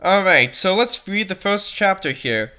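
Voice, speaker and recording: A woman's voice: medium pitch, animated, measured pace, bright, forceful tone. A woman doing a comedic read-aloud of fanfiction, with mocking, sarcastic asides and a tendency to giggle. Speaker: fanfiction reader and commentator.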